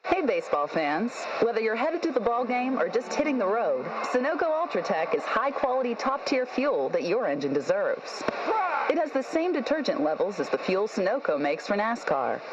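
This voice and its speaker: A woman's voice: a little high, very animated, moderate pace. A woman reading a scripted radio commercial. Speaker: commercial voice-over announcer. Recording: dull radio broadcast, noisy background.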